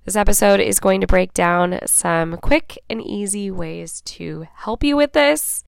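A woman hosting her own podcast in a casual, chatty way, hoarse and raspy from a cold, often checking in with "right?" and "okay?". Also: mid-range voice, a little slow, no room sound, studio quality. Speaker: podcast host. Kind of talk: casual solo podcast talk.

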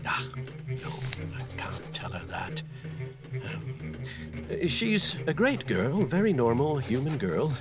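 A man's voice: mid-range voice, sing-song, slow pace, pauses often, loud music behind the voice. An actor playing an awkward dying father who hesitantly rambles through a letter about his daughter, in comedic audio fiction. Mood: awkward, fumbling. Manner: hesitant, rambling, fumbling for tactful words.

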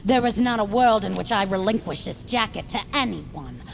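A woman's voice: mid-range voice, lively intonation, moderate pace, gravelly, a little hiss or hum. An actress playing a vain, stubborn henchwoman in a family audio drama, with a brash, emphatic way of talking. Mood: defiant. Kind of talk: family audio fiction character acting.

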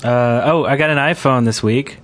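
Male podcast host, average pitch, informal conversational read for gaming chat. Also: normal speed, fairly bright tone.

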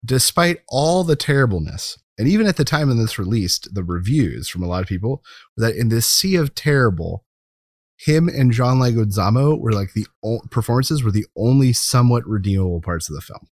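A man's voice: mid-range voice, animated, fairly quick, no echo, studio quality. A man co-hosting a podcast, making his case about movies in casual, emphatic, opinionated talk. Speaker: podcast co-host. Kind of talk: casual film commentary, arguing a case.

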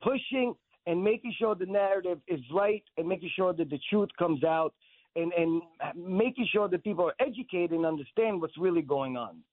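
A man's voice: high-pitched, normal speed, no echo. A man from Israel, a guest on a radio interview, speaking earnestly and insistently and repeating words as he presses his points. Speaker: guest, actor and director. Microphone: phone. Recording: tinny phone line.

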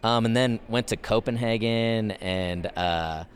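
A man's voice: fairly low pitch, unhurried, slight background noise. A man telling stories in casual conversation as a podcast guest, with laid-back, slangy phrasing. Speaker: podcast guest, professional skateboarder. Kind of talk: casual chat, storytelling.

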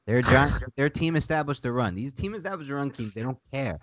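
A man's voice: mid-range voice, lively intonation, fairly quick, a bit bright. A man co-hosting a casual sports podcast, with a nasal voice and an emphatic way of repeating his points. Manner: emphatic, repeats himself when pressing a point. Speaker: podcast co-host.

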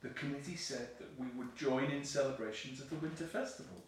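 A man's voice: medium pitch, steady tone, fairly quick, close to an everyday voice. A man playing a mild, placating, noncommittal husband in an audio play, hesitant and passive. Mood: reluctant. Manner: hesitant, passive, brief replies. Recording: very echoey room, faint noise.

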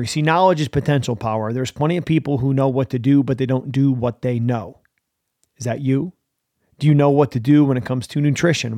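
A man's voice: mid-range voice, darkish tone, no room sound, studio quality. A man giving a motivational talk as a mental performance coach, with a punchy, emphatic delivery full of slogans.

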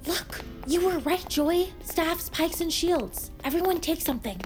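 A woman's voice: very high voice, unhurried, music in the background. An actress playing a sarcastic, exasperated teenage girl who takes charge, in an audio drama. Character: bossy sarcastic teenage girl leading her friends. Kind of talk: audio drama comedy acting.

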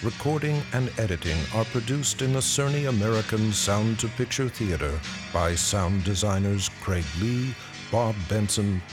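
A man giving scripted radio announcements and credits as a show announcer. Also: lowish voice. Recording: music clearly behind the voice.

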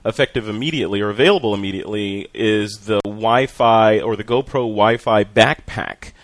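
A man's voice: fairly low pitch, normal speed, dry recording. Chatty male podcast host, dry sound. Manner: relaxed, talkative with fillers and restarts. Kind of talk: casual news chat.

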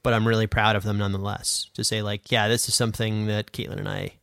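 A man's voice: on the low side, flat delivery, fast, fairly bright tone, no room sound, clean studio sound. A man in his twenties co-hosting a podcast, explaining things in a casual, conversational way. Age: 20s. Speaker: podcast co-host and sound designer.

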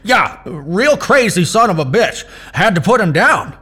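Jittery underling for audio drama: high voice, slow halting delivery, eager to obey, a bit dim. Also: male voice, big pitch swings, fairly bright tone, breathy edge, faint music behind, exaggerated voice. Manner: hesitant, stammering, deferential. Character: nervous, dim, eager male henchman.

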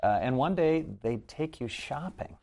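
A man interviewing an author, setting up questions in deliberate, broken-up phrases. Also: medium pitch, animated, a little mellow. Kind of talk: measured book-interview questioning.

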